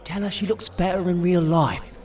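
Man acting panicky British inner voice, very high for a man, sweary, berating, sounds distant, music behind. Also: fairly even intonation, moderate pace, a little mellow, lightly acted. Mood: smitten. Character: mouthy, panicky inner voice of an awkward male dater. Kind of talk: audio drama acting.

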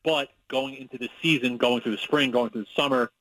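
A man's voice: medium pitch, fairly even intonation, fairly quick, a bit bright, dry recording, rough recording. A man from Long Island with a New York accent, a sportswriter guest talking casually and analytically on a radio interview. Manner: conversational, with many fillers and restarts, analytical.

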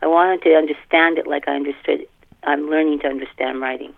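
An older woman, a guest author chatting casually in a podcast interview, animated and self-deprecating, repeating words for emphasis. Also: low-pitched, a little fast, creaky. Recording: thin band-limited phone line.

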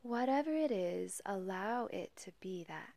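A woman leading a guided meditation in a soft, breathy, partly whispery voice, calm and gentle, with long pauses between measured phrases. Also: mid-range voice, no room sound. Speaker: meditation guide and podcast host.

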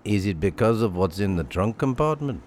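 An actor playing a cold, menacing killer with a smooth polite front in a 1940s-style radio thriller, sardonic and deliberate. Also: male voice, lowish voice, expressive, darkish tone, a little hiss or hum, close to an everyday voice. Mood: cold menace.

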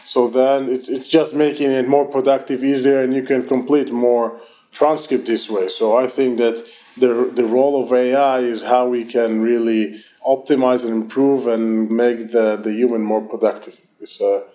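A man with an Israeli accent, a company founder answering interview questions over an online call in a quick, loose way with frequent restarts. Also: mid-range voice, flat delivery, warm tone. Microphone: remote online call.

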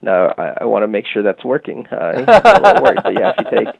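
A man's voice: lowish voice, wildly swooping pitch, fairly quick, vocal fry, dry recording. A man guest explaining a product in a casual podcast interview, talking at length with many fillers and restarts. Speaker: guest from a music marketing company. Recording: tinny phone-line audio.

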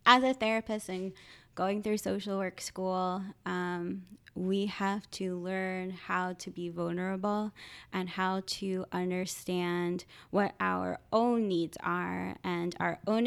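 A woman who is a social worker, talking casually in a podcast interview, halting often and laughing. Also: fairly even intonation, gravelly, smooth. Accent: Philadelphia. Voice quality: high-pitched by her own account. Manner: halting, frequent restarts and laughs.

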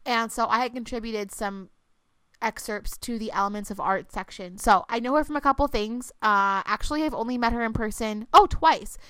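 A woman's voice: on the high side, moderate pace, pushed and bright, smooth, no echo. A woman in her twenties chatting casually as a podcast co-host, full of fillers and slightly congested. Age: 20s. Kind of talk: casual chat.

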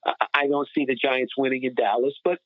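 An American man co-hosting a sports podcast, chatting casually in an animated, opinionated, colloquial way. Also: medium pitch, fairly quick, dry recording. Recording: muffled phone-like connection.

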